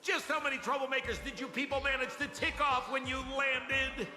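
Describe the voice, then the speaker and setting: An actor playing a flamboyant, smooth-talking rogue in a video game, boastful and playful like a showman. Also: extremely high pitch, fairly even intonation, moderate pace, bright, forceful tone, full character voice. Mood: playful, excited. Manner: theatrical, showman-like. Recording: echoey hall sound with music.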